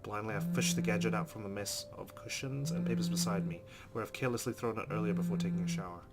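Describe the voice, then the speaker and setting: A man's voice: lowish voice, lively intonation, fast talker. A man doing a let's play, reading game narration aloud expressively and adding casual, informal asides. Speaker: let's player. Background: game music.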